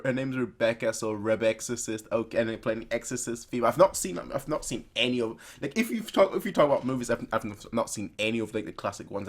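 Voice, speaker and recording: A young man hosting a reaction video, chatting casually to viewers in a rambling, playful way. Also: mid-range voice. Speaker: host of a reaction video channel.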